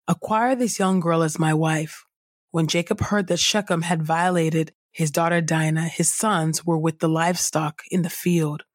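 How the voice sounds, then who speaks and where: A woman reading scripture aloud as a podcast host, in a measured, clearly enunciated audiobook style. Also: on the low side, no room sound, studio quality.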